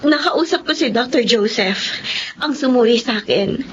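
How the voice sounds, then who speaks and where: A woman's voice: on the high side, moderate pace, slight background noise, close to an everyday voice. A Filipino woman playing a devoted, emotional daughter and elder sister in a radio drama. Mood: tearful joy. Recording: muffled drama audio with loud music bed.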